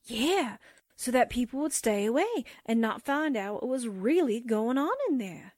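A woman's voice: on the high side, animated, measured pace, a little mellow, no echo, clean studio sound. A woman acting a feisty, wisecracking newspaper sidekick in a 1940s-style radio drama, breezy and sardonic. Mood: wry.

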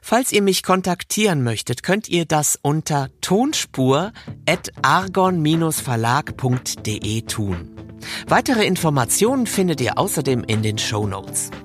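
A man's voice: a little high, big pitch swings, measured pace, soft background music. A man presenting a podcast in a scripted, direct-address style. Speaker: podcast host.

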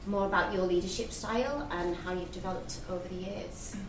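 Female panel host, lowish voice, upbeat, roomy distant sound with audience noise. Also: brisk. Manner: enthusiastic, praising. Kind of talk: moderating a live panel. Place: event venue with live audience. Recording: roomy, distant, live-event ambience.

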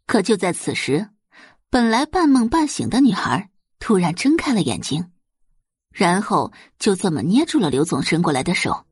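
A woman's voice: on the low side, very animated, normal speed, breathy edge, no room sound, clean studio sound. A woman reading scripted, dramatic audiobook narration as the narrator of a story.

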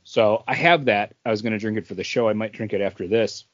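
A man's voice: lowish voice, quick pace, dry recording, dull audio. A man hosting a podcast, chatting casually in a rambling way about craft beer. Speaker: podcast host.

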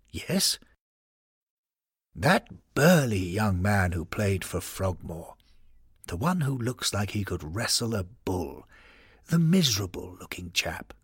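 A man voicing a kindly older male doctor who speaks slowly and gently. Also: fairly low pitch, husky, no room sound, clean studio sound, heavily acted.

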